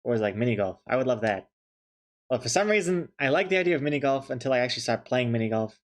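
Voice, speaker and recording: A man's voice: medium pitch, lively intonation, fast talker. A young man chatting casually on a stream, joking and thanking viewers as he goes. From New Zealand. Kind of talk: casual chat while drawing on stream.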